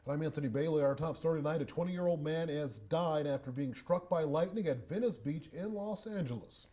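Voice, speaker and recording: A man's voice: on the high side, brisk, muffled recording. A man with an American accent reading scripted television news as a co-anchor.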